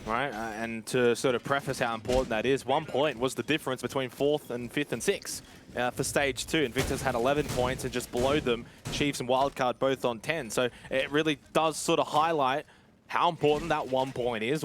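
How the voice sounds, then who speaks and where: A man with a mid-pitched voice delivers live play-by-play of a video game match. Background noise and clicks are clearly audible behind his commentary. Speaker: esports play-by-play caster.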